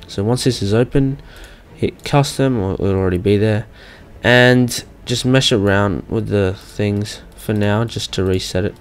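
A young man giving an informal, slightly hesitant step-by-step software walkthrough as a tutorial host. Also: lowish voice, clear, resonant tone. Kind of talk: casual software screen-recording walkthrough.

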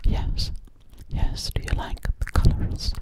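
A woman's voice: takes it slowly, close to an everyday voice. A woman playing a sweet, slightly sassy alien girl in an ASMR roleplay, whispering breathily close to the microphone and repeating her words soothingly. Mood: soothing, playful. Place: dim living room indoors. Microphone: close-up at a large ASMR microphone.

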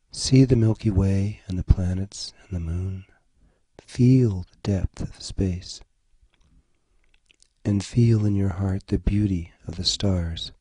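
A man leading a guided meditation, giving gentle, soothing instructions in short phrases. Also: deep voice, breathy edge, no echo. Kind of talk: slow guided meditation narration.

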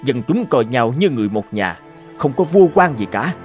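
A man acts as a stern conquering emperor in an animated folk tale. He declaims imperiously and with pride, his pitch swinging widely. Soft music plays behind him. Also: normal speed, close to an everyday voice. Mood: puzzled, commanding. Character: proud, stern male conquering emperor, commanding and curious. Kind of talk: dubbed cartoon dialogue.

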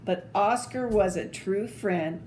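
Slow older woman reading a storybook, noisy recording. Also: mid-range voice, a little mellow. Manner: slow, deliberate, theatrical phrasing. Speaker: storyteller reading picture books aloud. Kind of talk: expressive children's storybook read-aloud with asides. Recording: clearly noisy home video recording.

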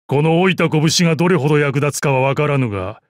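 An actor playing a proud, battle-hardened old martial arts master in a game, with gruff dignity, dry amusement and archaic old-man speech. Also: a little high, expressive, fast, dry recording, lightly acted. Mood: humble, resolute. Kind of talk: Japanese mobile game character voice lines.

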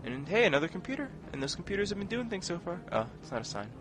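A man's voice: high voice, very animated, very fast. A man giving casual, wryly sarcastic commentary as he plays through a video game. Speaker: video game let's player. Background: video game music and sound effects.

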